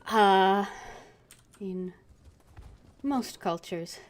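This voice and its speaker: Slow, hesitant woman streaming a game. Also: mid-range voice, slight background noise. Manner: hesitant, thinking aloud with long pauses. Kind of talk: casual, unscripted gameplay commentary.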